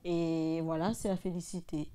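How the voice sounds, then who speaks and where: A woman's voice: fairly low pitch, level tone, moderate pace, warm tone, clear, resonant tone. A woman hosting an interview show, welcoming her guest and asking questions in an upbeat way. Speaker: interview host.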